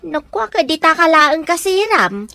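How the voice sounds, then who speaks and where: A woman's voice: extremely high pitch, very animated, measured pace, bright, forceful tone, dry recording, faint music behind, full character voice. A woman playing an inquisitive, talkative, motherly character in a radio comedy drama, with an Ilocano accent. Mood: nosy, chatty.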